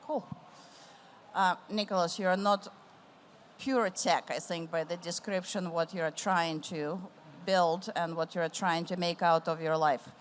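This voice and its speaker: A woman's voice: on the low side, fairly even intonation, unhurried, slight background noise. Woman moderating a conference panel. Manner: warm, rambling, with restarts. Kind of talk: live panel discussion. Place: conference stage.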